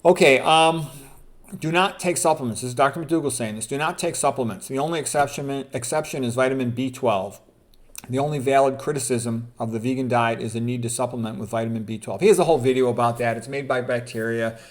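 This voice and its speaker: Male doctor-presenter, medium pitch, informal lecture style, opinionated and emphatic, alternates reading aloud with commentary. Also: quick pace. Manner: emphatic, opinionated, repeats points for stress. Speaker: physician presenter.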